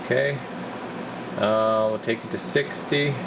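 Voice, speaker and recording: A man's voice: mid-range voice, a little slow, vocal fry. A man giving a casual hands-on demonstration as a repair technician, talking himself through each step informally. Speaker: radio repair technician presenting his bench work. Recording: noisy workshop recording with loud steady hiss.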